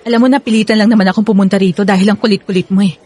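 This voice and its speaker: Woman acting an emphatic young woman, husky-edged. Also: medium pitch, steady tone, racing, darkish tone, natural voice. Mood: exasperated, firm. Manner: exasperated, emphatic. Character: exasperated, firm young woman rejecting her ex-lover. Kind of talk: radio drama acting.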